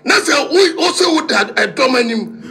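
Very high-pitched, agitated Ghanaian man. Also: expressive, normal speed. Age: middle-aged. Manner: shouting, agitated, repetitive. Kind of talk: heated radio studio rant. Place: indoor radio studio. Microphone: studio microphone with pop filter.

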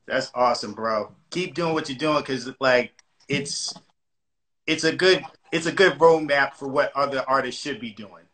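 A man hosting a casual live interview, speaking in a measured, supportive way over a phone or webcam video call. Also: medium pitch, a bit bright. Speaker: host.

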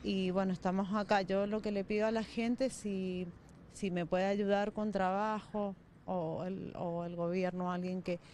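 A woman in her thirties with an Argentine accent, answering a reporter's questions on location in a soft, halting way and repeating her words. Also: mid-range voice, little pitch movement, a little hiss or hum. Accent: Argentine Spanish. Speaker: interviewee. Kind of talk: on-location news interview. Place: outdoors by a brick shack, then a small room. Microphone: handheld reporter's mic.

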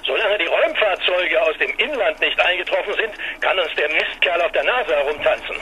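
Stressed wry cop on a noisy phone line, really sharp voice, audio drama. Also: male voice, a little high, expressive, fairly quick. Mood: exasperated. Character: harried, wry male police inspector. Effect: telephone. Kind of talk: German audio drama acting.

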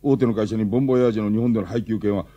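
A man playing an excitable, defensive, hapless middle-aged father in a Japanese radio drama. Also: on the low side, very fast, lo-fi sound, natural voice. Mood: resigned, bitter.

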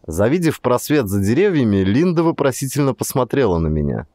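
A man narrates an audiobook with dramatic, very varied intonation. His voice sits at a medium pitch, and the recording is dry and close, with no audible room. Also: big pitch swings, fairly quick, dark tone, no room sound.

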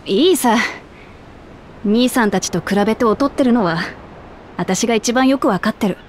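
An actress playing a brash, warm-hearted warrior princess in a game, speaking in a rough, boyish, candid way. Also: female voice, on the high side, normal speed, slight background noise, lightly acted. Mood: casual, frank.

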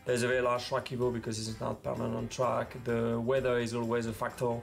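A man answers interview questions in a measured, even-toned way, his voice gravelly with fry. His pitch barely moves. Faint music sits underneath.

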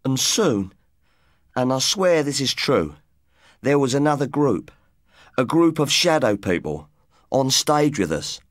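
A man's voice: mid-range voice, big pitch swings, unhurried, pauses often, no room sound, clean studio sound. A man voicing an earnest, wistful, washed-up rock musician in his late twenties, as audiobook dialogue. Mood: earnest, haunted.

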